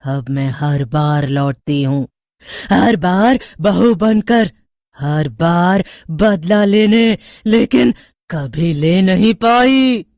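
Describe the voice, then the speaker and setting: Woman acting a slow, brooding vengeful witch spirit. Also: on the low side, darkish tone, no echo, studio quality. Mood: menacing, bitter. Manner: slow, menacing, incantatory. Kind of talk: dramatised horror storytelling.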